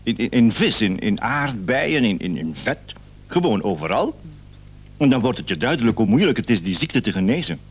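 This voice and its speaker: An actor playing an anxious, long-suffering patient who stammers and repeats himself in a radio drama. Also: male voice, fairly low pitch, very animated, moderate pace. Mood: fretful, resigned. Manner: hesitant, stammering, repeating words. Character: anxious, long-suffering male allergy patient, hesitant and plaintive. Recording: old radio recording, faint hum.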